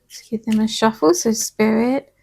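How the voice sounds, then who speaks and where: A woman giving a casual tarot and angel card reading, her voice slightly hoarse, talking in a soft, chatty, reassuring way and often checking in with "okay?". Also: a little high, moderate pace.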